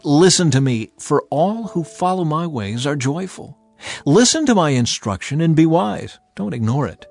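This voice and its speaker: A man plays an ancient, exalted spirit of wisdom in a dramatized scripture reading. The delivery is earnest and commanding, a public proclamation with very varied intonation. Music plays underneath. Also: mid-range voice. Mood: solemn, urging. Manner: declamatory, proclaiming to a crowd. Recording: music behind the voice.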